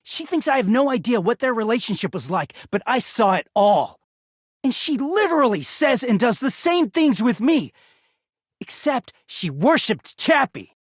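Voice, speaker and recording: Exasperated young laundry guy, video game character, sardonic, foul-mouthed, animated pitch, rough-edged tone, close dry sound. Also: moderate pace, no room sound, heavily acted. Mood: aggrieved, bitter. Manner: sardonic, foul-mouthed, swinging from muttering to shouting. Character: bitter, exasperated young male dirty-laundry character in toxic romance.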